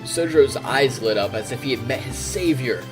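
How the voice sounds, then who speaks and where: A man's voice: mid-range voice, expressive, moderate pace. A man streaming, reading narration from a visual novel aloud and adding casual comments. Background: game music.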